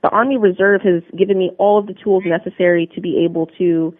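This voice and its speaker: A woman's voice: fairly low pitch, steady tone, fast talker, no echo. A young woman from New Jersey, a guest on a radio interview, answering in an upbeat, earnest and polished way. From New Jersey, USA. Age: young adult. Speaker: interview guest, Army Reserve drill sergeant. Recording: narrow phone-line sound, dry.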